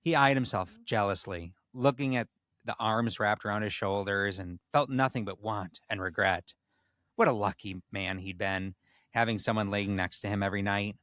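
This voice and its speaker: A man's voice: lowish voice, moderate pace, fairly bright tone, no echo. A man hosting a comedy podcast, reading a story aloud in a mock-solemn tone and breaking into casual banter.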